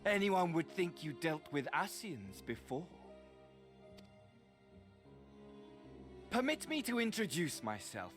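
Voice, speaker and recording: A man's voice: on the high side, very animated, normal speed, a bit bright. An actor playing a gleefully nihilistic villain mastermind in a video game, mocking and grandiose like a mad showman. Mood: mock delight. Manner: grandiose showman, swinging from mocking courtesy to mania. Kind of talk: theatrical video game voice acting. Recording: game audio with music behind.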